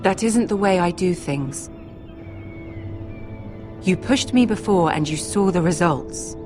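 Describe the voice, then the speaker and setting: An actress playing a shrewd young noblewoman in a fantasy game, gracious and archly polite, speaking in a measured courtly way. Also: on the low side, expressive, over music. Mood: cool, guarded. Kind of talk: dramatic fantasy game acting.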